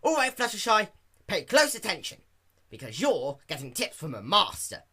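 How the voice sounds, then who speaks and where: A brash young female pony from a cartoon story, acted by a man. The voice is pushed high and bright, swinging widely, loud and boastful in every phrase. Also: huge pitch swings, moderate pace, husky, full character voice. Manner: loud, cocky, emphatic.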